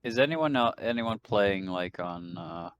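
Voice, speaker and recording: A man's voice: on the low side, lively intonation, a little slow, over a noisy crowd. A man chatting casually as a player in an online tabletop game. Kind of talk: casual chat.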